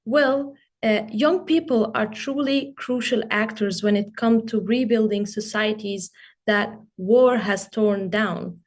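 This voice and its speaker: A young adult woman from Syria giving a prepared speech as a guest speaker, hesitant, with frequent pauses and ums. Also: mid-range voice, darkish tone. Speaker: youth coordinator and guest speaker. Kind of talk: prepared speech at an online forum.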